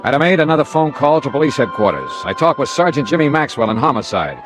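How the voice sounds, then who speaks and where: An actor playing a hard-boiled, dogged American insurance investigator in a 1950s radio detective drama. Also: male voice, medium pitch, sing-song, fast, over music, lightly acted. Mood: wry, clipped narration. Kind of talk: 1950s radio detective drama, first-person narration and dialogue.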